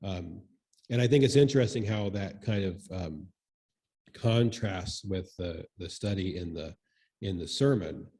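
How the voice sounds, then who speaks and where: A man giving informal, reflective comments in a group discussion, speaking in a halting, thoughtful and tentative way. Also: sits low, dark tone. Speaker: congregation member commenting in church discussion.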